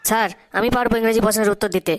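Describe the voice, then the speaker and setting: Brief: smooth-voiced prankster schoolboy, woman acting, cartoon, Bengali accent, even tone. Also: a little high, racing, close to an everyday voice. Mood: cheeky. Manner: jokey, broken English, sing-song. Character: cheeky boy pupil, joking and boastful.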